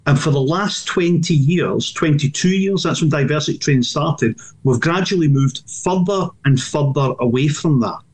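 A man's voice: a little high, normal speed, hardly any pauses, slightly rough. An older Scottish man, a former police superintendent, answering as a news interview guest in a blunt, emphatic way with repeated restarts.

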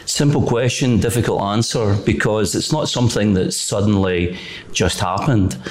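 A middle-aged Scottish man speaks as a radio guest from the education sector. His voice sits fairly low, and he picks his words carefully, hedging and steering back to policy. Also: moderate pace. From Greenock, Scotland. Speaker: further education college leader, guest. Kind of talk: radio interview answers.

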